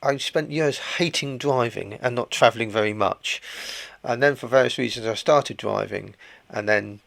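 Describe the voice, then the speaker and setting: A man's voice: mid-range voice, moderate pace, vocal fry, fairly bright tone. A man in his sixties talking matter-of-factly about travelling. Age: 60s. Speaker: interviewee.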